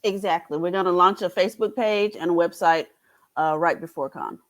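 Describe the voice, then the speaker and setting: A woman's voice: lowish voice, fairly quick. A woman speaking casually as a panelist in an informal discussion.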